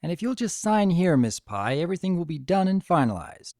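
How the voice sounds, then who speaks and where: A man's voice: sits high, sing-song, a little mellow, dry recording, clean studio sound, voice pushed up. Man voicing a polite businesslike mare caseworker, slightly raised. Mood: courteous, official. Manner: formal, courteous, measured.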